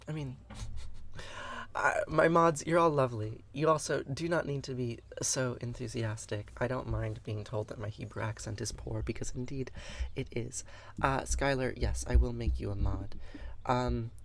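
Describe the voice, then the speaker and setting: A man hosting a live-streamed lesson, explaining scripture in an earnest, chatty way and stopping to answer viewers with fillers and quick laughs. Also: medium pitch, slight background noise. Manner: explanatory, with frequent fillers and restarts. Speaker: livestream host teaching scripture. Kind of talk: live-streamed lesson mixed with chat replies.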